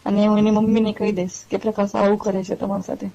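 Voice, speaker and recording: A woman playing a worried middle-aged aunty who clings to a secret lover, with a Gujarati accent and a halting, pleading manner in a staged phone call. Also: mid-range voice, little pitch movement, gravelly, dark tone. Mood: anxious recounting. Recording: dull lo-fi phone call recording.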